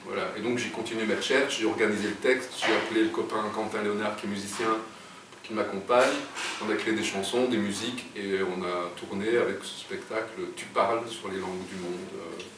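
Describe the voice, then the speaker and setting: Brief: middle-aged man, low voice, halting storyteller, recorded from afar in a roomy space. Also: steady tone. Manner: hesitant, many fillers and restarts. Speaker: guest writer. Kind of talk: casual interview storytelling. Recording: echoey room, distant mic, background noise.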